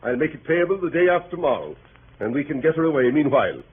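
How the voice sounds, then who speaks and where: An actor playing a peremptory, irate middle-aged country gentleman, emphatic in the 1930s radio manner. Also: fairly high pitch, very animated, fast, husky. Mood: exasperated bargaining. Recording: scratchy phone-like 1930s radio recording.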